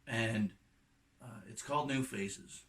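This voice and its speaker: A middle-aged man with a slightly croaky voice, a musician talking casually and reflectively between songs in an unhurried, halting way that trails off softly. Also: mid-range voice, distant mic. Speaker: acoustic folk and bluegrass musician.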